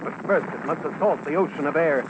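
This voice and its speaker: A man reads dramatic scripted documentary narration with a solemn, portentous and deliberate delivery, as on a vintage radio broadcast. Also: a little high, very noisy. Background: loud background music.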